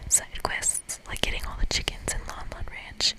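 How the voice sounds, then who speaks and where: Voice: normal speed, rough recording. Whispering boisterous gamer tough played by ASMR reader. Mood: musing, goofy. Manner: excitable, slangy, casual.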